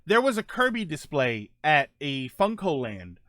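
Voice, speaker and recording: A man's voice: fairly high pitch, very animated, unhurried, a bit bright, no room sound, studio quality. A man chatting casually as host of a live stream, rambling and joking as he answers viewers.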